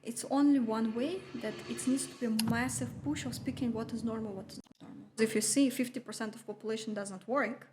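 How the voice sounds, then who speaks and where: Russian-accented woman, fairly high voice. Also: fairly even intonation, a little fast, hardly any pauses, noisy background. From Russia (Siberia). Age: 30s. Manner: earnest, emphatic, with light laughs. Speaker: podcast guest, marketing entrepreneur. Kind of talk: conversational interview.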